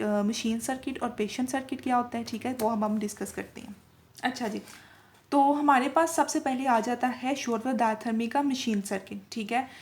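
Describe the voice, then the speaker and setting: Female tutor, voice on the high side; informal teaching delivery that repeats points and mixes in English terms. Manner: repetitive, with frequent check-in tags; switches between Urdu-Hindi and English technical terms. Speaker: lecturer in physiotherapy video lessons. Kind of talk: informal explanatory lecture.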